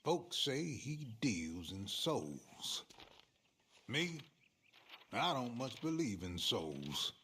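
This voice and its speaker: An actor playing a shady, folksy frontier fixer in a video game, with an American accent and a knowing drawl. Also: male voice, mid-range voice, big pitch swings, extremely slow, bright, forceful tone, a little hiss or hum, full character voice. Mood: sly, ominous. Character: shady male frontier fixer, sly, folksy outlaw schemer. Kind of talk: video game cutscene acting.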